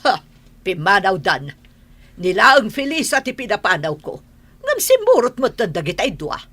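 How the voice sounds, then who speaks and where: A woman's voice: medium pitch, wildly swooping pitch, moderate pace, fairly bright tone. A woman playing a haughty, scornful rich aunt who rules the household in a radio drama. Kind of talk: Filipino radio drama acting.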